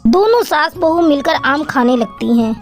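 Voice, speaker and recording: A woman's voice: high-pitched, expressive, normal speed, soft background music. A woman reading scripted narration for a children's cartoon story.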